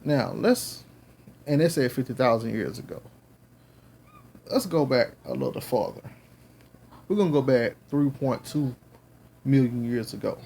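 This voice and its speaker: A man's voice: medium pitch, creaky, warm tone, slight background noise. A man with a Southern accent giving a Bible lesson at home, earnest and rambling with many fillers. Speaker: Bible teacher. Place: home with children and babies around.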